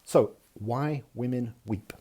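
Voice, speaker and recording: A man from Malaysia introducing and reading aloud his own non-fiction in a halting, self-deprecating way, with many fillers and restarts, over a video call. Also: medium pitch, warm tone, slightly rough. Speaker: author reading his work.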